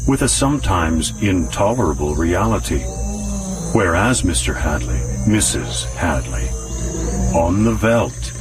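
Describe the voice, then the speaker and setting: An actor playing a calm, coldly logical house machine in a radio drama, measured, precise and emotionless. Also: male voice, deep voice, far from the mic, music in the background, poor audio. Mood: coldly polite.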